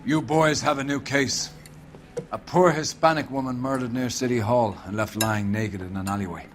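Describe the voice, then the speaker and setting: A man's voice: medium pitch, steady tone, moderate pace, natural voice. Man playing hearty older police captain for a detective game, avuncular and expansive, faint noise behind. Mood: genial authority. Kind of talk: video game cutscene acting.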